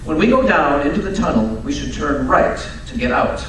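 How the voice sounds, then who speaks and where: A man playing a brisk, learned Victorian detective in a live old-time radio drama, precise, commanding and drily teasing. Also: on the high side, animated, a bit bright. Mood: precise, explanatory. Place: live stage performance at radio club event. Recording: very echoey hall, distant mic, faint noise.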